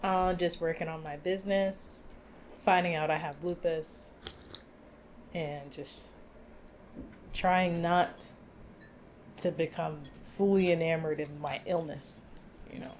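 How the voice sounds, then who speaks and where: A woman's voice: sits low, fairly even intonation, slow pace, pauses often, a little mellow, slight background noise. A young adult woman co-hosting a podcast in casual chat, talking loosely with plenty of "like" fillers. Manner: chatty, many "like" fillers. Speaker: podcast co-host.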